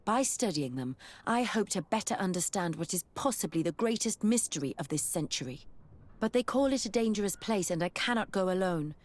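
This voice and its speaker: A woman's voice: medium pitch, animated, brisk, lightly acted. A woman playing an earnest, inquisitive scholar in a fantasy video game. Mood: eager, concerned.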